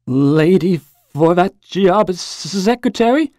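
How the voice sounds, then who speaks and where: A man's voice: high voice, animated, takes it slowly, darkish tone, dry recording, studio quality. A man voicing a dutiful, matter-of-fact office clerk in a story. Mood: dutiful, insistent.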